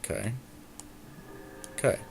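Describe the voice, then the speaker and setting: A man's voice: takes it slowly, darkish tone, slight background noise. A man thinking aloud as he gives casual commentary on a strategy game he is playing. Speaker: podcast host commentating a card game.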